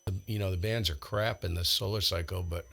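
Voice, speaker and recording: A man's voice: low voice, fairly even intonation, a little fast, vocal fry, a bit bright. An American man live-streaming ham radio with casual, blunt, slangy commentary and radio calls. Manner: blunt, slangy, exasperated grumbling.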